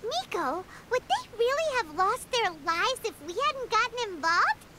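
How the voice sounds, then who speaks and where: A woman's voice: big pitch swings, unhurried, heavy background noise, full character voice. A woman voicing a tiny, perky, chatty fairy companion in a video game, who talks about herself in the third person. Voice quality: very high, squeaky, bouncy.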